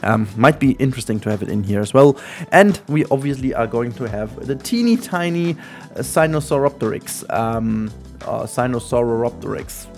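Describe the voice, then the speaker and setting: A man's voice: medium pitch, normal speed. A man with a German accent and German as his first language, hosting casual gameplay commentary in a chatty, rambling way full of ums. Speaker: gaming channel host. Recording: faint music behind.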